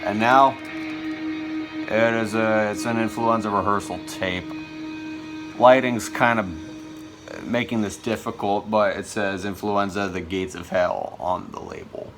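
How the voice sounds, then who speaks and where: A man with a fairly low voice hosts a casual show-and-tell vlog. He talks in an enthusiastic, conversational way, with clearly audible music behind him. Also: fairly even intonation, measured pace. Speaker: video host showing a music collection.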